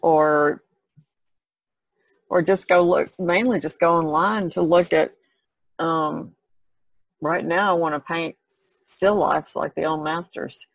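A woman's voice: deep voice, fairly even intonation, slow, gravelly, long pauses, no room sound, rough recording. A woman chatting casually as a podcast panelist, in a hesitant, musing way. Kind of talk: casual chat.

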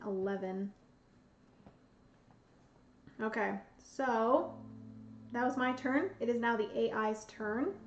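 A young woman, losing her voice, slowly talks herself through a solo board game at home, counting out pieces as she plays. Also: a little high, slight background noise. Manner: slow, thinking aloud, counting pieces. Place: home room with bookshelves, indoors. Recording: roomy, slightly dull sound, soft music.

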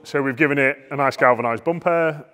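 A middle-aged man talks through the parts in a relaxed, chatty way, pointing things out as he goes. His voice sits mid-range and carries a long reverb from the big workshop around his lapel mic. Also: mid-range voice, a little fast. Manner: chatty, explains parts hands-on. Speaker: workshop owner showing projects. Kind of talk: casual walkaround explanation. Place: large vehicle workshop, indoors.

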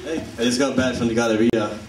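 Young Puerto Rican comic, Spanish-accented English, playful crowd work, roomy distant live sound. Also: male voice, medium pitch, steady tone, fast talker. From Puerto Rico. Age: young adult. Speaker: stand-up comedian. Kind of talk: stand-up comedy set. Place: comedy club stage. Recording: echoey live room from a distance. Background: laughing audience.

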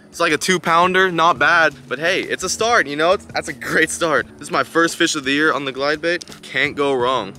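A young adult man chatting casually to viewers in a slangy, excitable outdoor vlog style. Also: a little high, normal speed. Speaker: fishing vlogger. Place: outdoors beside a pond. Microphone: chest-mounted action camera or camera close in front. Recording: outdoor ambient noise on action camera.

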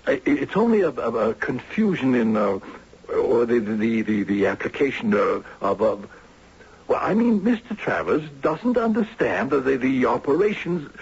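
An actor playing a flustered, officious care-home superintendent in a 1970s radio drama, fussy, stammering and deferential. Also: male voice, medium pitch, very animated, normal speed, husky, full character voice. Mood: nervous, apologetic. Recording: dull 1970s radio recording.

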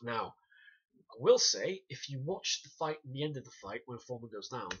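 A man in his thirties talks straight into a webcam from a small room, bright-toned with a husky edge. He explains with animated gestures and drops wry, sarcastic asides. The sound is dull and lo-fi, like a desk webcam. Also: mid-range voice, brisk. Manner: animated, gestures and demonstrates punches. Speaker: self-defence instructor reviewing a documentary. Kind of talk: casual to-camera review. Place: small room indoors, banner behind. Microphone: webcam at desk distance.

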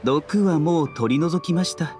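An actor playing a composed, reassuring physician in a game, speaking politely and with measured formality. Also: male voice, on the high side, over music, lightly acted. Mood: reassuring, clinical. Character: courteous, soft-mannered young male physician, reassuring and composed. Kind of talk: Japanese game voice acting.

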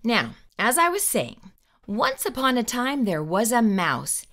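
A middle-aged woman hosting children's storytime, reading a picture book aloud in a theatrical, deliberate, emphatic way. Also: on the high side, sing-song, a bit bright. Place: small indoor room with bookshelves.

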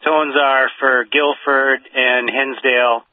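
A man's voice: mid-range voice, flat delivery, no room sound. Male fire dispatcher on dull radio. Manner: formal, measured, repeats each call. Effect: two-way radio. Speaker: fire mutual aid dispatcher. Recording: dull, band-limited radio dispatch audio.

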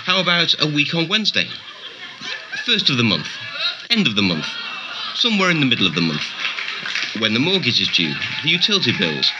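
An insistent man in a radio drama, played by an actor, firing off suggestions. His pitch swings very widely, and the recording is heavy with noise. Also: huge pitch swings, brisk, long pauses. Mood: pestering. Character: insistent man pressing for a date. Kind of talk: radio play dialogue. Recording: very noisy radio play recording.

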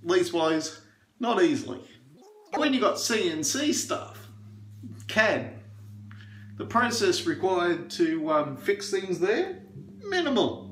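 Middle-aged aussie bloke rambling on while shaving, bit high voice, echoey little bathroom. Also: male voice, very animated, slow, pauses often, a bit bright, a little hiss or hum. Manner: chatty and opinionated, rambling with fillers. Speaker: shaving reviewer. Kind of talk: casual chat while shaving. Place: small bathroom indoors.

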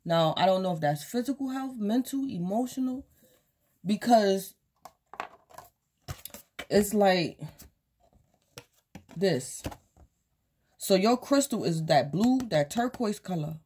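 A woman's voice: mid-range voice, animated, slow, pauses often, warm tone, husky. A tired woman who is losing her voice, giving a rambling, casual tarot reading at home with lots of fillers. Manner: rambling, loose, with many fillers and repeats. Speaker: tarot reader. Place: small indoor room at home, table of cards and crystals.

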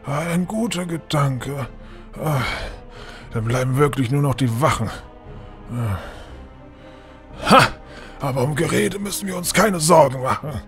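A man's voice: on the high side, expressive, unhurried, long pauses, music in the background. A man playing a scheming, cruel old lord in a fantasy audio drama, with a pompous, sneering delivery. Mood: calculating.

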